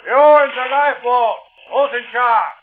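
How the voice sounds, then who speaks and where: A man's voice: medium pitch, lively intonation, normal speed, close to an everyday voice. A man playing a dutiful U-boat captain in a radio drama, formal and clipped. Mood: commanding shout. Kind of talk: old-time radio drama acting. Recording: muffled vintage radio broadcast, music behind.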